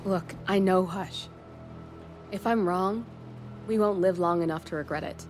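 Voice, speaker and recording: A woman's voice: fairly low pitch, measured pace, faint music behind, close to an everyday voice. A woman playing a cool, wry intelligence handler in a video game, matter-of-fact and dry. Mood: wry, resigned. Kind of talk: video game cutscene acting.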